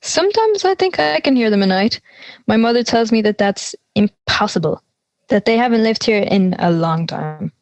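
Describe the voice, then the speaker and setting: A woman's voice: a little high, animated, normal speed, dry recording. Young woman as an anxious schoolgirl, dry close sound. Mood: anxious, confiding. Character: anxious schoolgirl confiding her dreams and fears. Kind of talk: audio drama monologue and dialogue.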